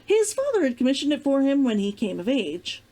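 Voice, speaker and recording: A woman reading fiction aloud as an expressive storybook narrator. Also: sits high, brisk. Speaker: reader of a fiction read-along.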